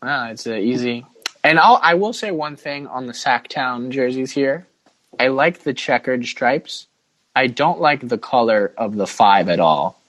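A man's voice: mid-range voice, expressive, a little slow, a bit bright. A man hosting a casual sports podcast, chatting and steering the discussion. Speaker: podcast host.

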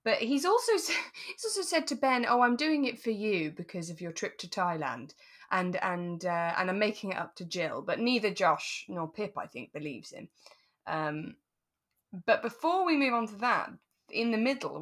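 A woman with a mid-range voice chats as co-host of a podcast about a radio drama. Her delivery is informal and playful, with plenty of amusement as she retells the story. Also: medium pitch. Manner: playful, amused, chuckling often. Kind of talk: casual chat recapping a radio drama.

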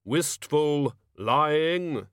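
A male narrator performs a grim, humourless immortal in a comic fantasy storybook. He speaks gravely and deliberately, as if each word were fact. The recording is dry. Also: on the high side, lively intonation, dry recording, voice pushed up. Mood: stern. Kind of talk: audiobook character acting.

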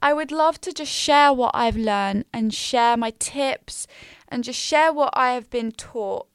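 A woman of twenty, hosting a casual solo podcast in a small quiet room, candid and upbeat with slangy asides. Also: on the high side, a little slow, clean tone, dry recording, studio quality. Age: 20s. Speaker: podcast host. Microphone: studio mic.

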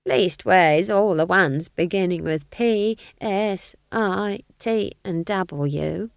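A woman's voice: lowish voice, takes it slowly, vocal fry, clear, resonant tone, dry recording, studio quality. Woman voicing a cheeky, unflappable old witch. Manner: chatty, dryly defiant.

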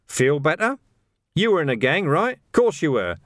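A gruff veteran police sergeant , acted out by a male narrator, blunt and streetwise, taunting his recruits in an audiobook story. His delivery swings freely up and down, in a close, dry recording. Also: fairly high pitch, sing-song, moderate pace, fairly bright tone, no echo, voice pushed up.

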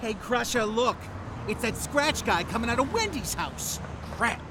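A soft-hearted, thoughtful goon amid loud vehicle noise. His high, animated voice suits a 1930s radio thriller. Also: measured pace, exaggerated voice. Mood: alarmed. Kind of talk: 1930s period radio-drama acting.

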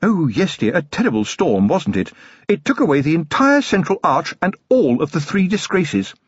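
A man's voice: a little high, sing-song, moderate pace, husky, no room sound, highs cut off, pitched above the performer's own voice. A man voicing a genteel noblewoman with a refined, gushing upper-class lilt. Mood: fond. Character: genteel noblewoman, warm and affectionate.

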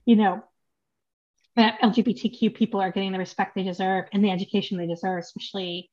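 A woman's voice: mid-range voice, flat delivery, fast, darkish tone, dull audio. An American woman hosting a podcast, chatty and full of restarts, laughing between phrases. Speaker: podcast host. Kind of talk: podcast interview.

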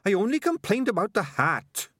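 A man's voice: sits high, sing-song, normal speed, fairly bright tone, breathy edge, no room sound, studio quality, voice pushed up, full character voice. A man voicing an anxious, exasperated male rat messenger who insists urgently to his boss, in an audiobook story.

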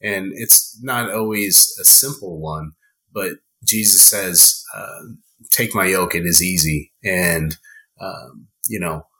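A man guest on a podcast, talking in a halting way with many fillers and restarts. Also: deep voice, bright, forceful tone, rough recording. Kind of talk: casual chat.

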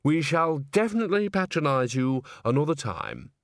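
A man's voice: on the high side, big pitch swings, no echo, studio quality, voice pushed up. A man voicing a chattering old witch. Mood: breezy. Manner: rapid gossipy chatter. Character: old chatty witch woman, cheerfully brazen.

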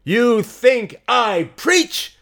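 A man's voice: high voice, animated, unhurried, a bit bright, voice pushed up, full character voice. A man voicing a fiery, defiant rebel woman who preaches to rouse a mob in declamatory audiobook oratory. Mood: challenging, preaching. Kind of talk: audiobook character acting.

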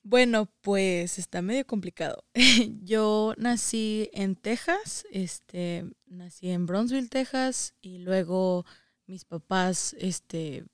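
Young female guest, northern Mexican accent, unhurried and resonant, conversational. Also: mid-range voice, dry recording, studio quality. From Saltillo, Mexico, and the United States. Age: young adult. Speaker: interview guest. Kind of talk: casual conversation telling own experience.

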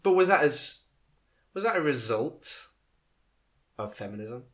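A man's voice: medium pitch, very animated, measured pace, fairly bright tone. Man joking on a podcast. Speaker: podcast co-host. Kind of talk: casual podcast chat.

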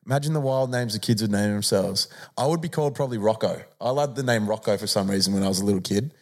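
A man co-hosting a podcast, joking and riffing in casual banter with frequent laughs. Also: fairly low pitch. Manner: joking, laughs a lot, riffs quickly. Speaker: podcast co-host.

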